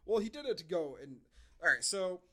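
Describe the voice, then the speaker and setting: Guy doing casual podcast movie banter, slightly high bright voice, kinda sarcastic. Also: very animated, a little fast. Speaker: podcast host.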